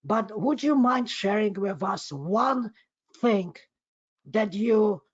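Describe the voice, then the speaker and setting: A man with a Polish accent speaks conversationally and effusively to an online audience, haltingly and often repeating words. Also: high-pitched, expressive, dry recording. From Poland. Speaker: guest speaker, magazine editor. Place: online video meeting. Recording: muffled lo-fi online call.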